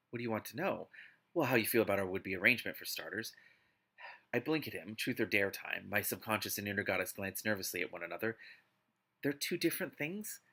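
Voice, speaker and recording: Man, lowish pitch, sharp bright tone, book read-aloud host, expressive exasperated asides. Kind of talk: live read-aloud with casual reactive commentary.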